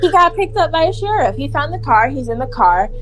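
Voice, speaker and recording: A young woman casually retelling a movie to friends in a rambling, animated way, acting out bits of dialogue and swearing. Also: high-pitched, fairly quick, music in the background. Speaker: storyteller.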